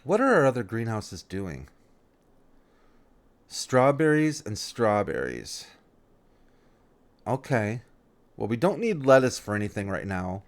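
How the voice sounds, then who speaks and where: A man's voice: fairly low pitch, big pitch swings, slow, gravelly, pauses often. A man giving casual let's-play commentary on a farming game, thinking aloud, rambling and often correcting himself mid-sentence.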